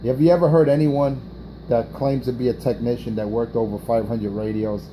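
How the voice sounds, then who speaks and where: A man's voice: mid-range voice, noisy background. Brash trash-talking man on CB radio. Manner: brash, taunting, profane, repeats himself. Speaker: CB radio operator hosting an on-air morning show.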